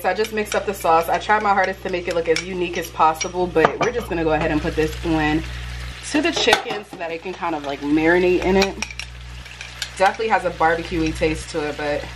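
A woman's voice: lowish voice, fast, background noise. Casual, emphatic young woman vlogger. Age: 20s. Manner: animated, emphatic, slangy. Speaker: lifestyle vlogger. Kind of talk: casual chat to camera. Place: indoors at home, kitchen and bedroom.

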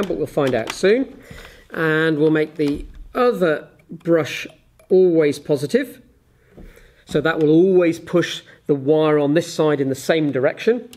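Male teacher, forties, slightly high-pitched voice, explains a hands-on demonstration in orderly steps. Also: a little hiss or hum. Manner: methodical, step-by-step explaining. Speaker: physics teacher. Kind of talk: explanatory lesson with live demonstration. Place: indoors, small office at a desk.

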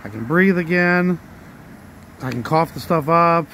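A hoarse man talking casually while walking outdoors on a residential street, a little out of breath, rambling in a plain way and now and then coughing or sighing. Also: a little high, measured pace, bright, forceful tone, a little hiss or hum. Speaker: vlogger. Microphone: handheld phone while walking.

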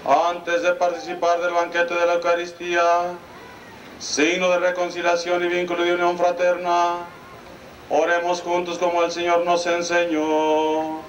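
A man with a Mexican Spanish accent, a priest reciting the prayers of the mass in a solemn, measured cadence, recorded by an amateur camcorder among the congregation. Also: high-pitched, monotone, echoey room, slight background noise, rough recording. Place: outdoor altar under a canopy.

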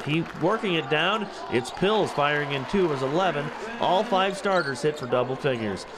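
Punchy male basketball highlights narrator over crowd. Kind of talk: scripted game recap commentary. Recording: noisy gym crowd behind voice. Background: crowd and basketball bounces.

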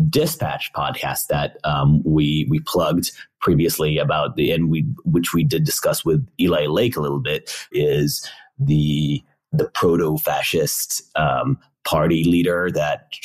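A man with a raspy, underslept voice, chatting casually and wryly as a podcast co-host, wandering into digressions. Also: a bass voice. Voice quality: gravelly, raspy. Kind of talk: casual reflective podcast chat.

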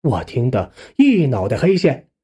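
A narrator telling a scripted first-person story in an expressive, colloquial storytelling manner. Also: medium pitch, measured pace, breathy edge. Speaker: audiobook narrator.

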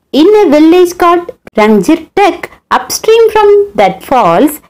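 A woman's voice: high-pitched, darkish tone, low-quality recording. A woman hosting her channel, telling a story as a scripted voice-over in a dramatic storyteller's way. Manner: dramatic, swooping storyteller's delivery. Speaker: channel host and narrator.

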